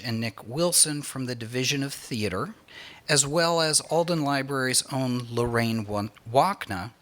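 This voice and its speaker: A middle-aged American man hosts a public talk from a stage microphone. His voice is bright and mid-pitched, and he reads his formal introductions in a measured way. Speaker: event host introducing speakers. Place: indoor stage at a library event. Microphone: microphone on a stand.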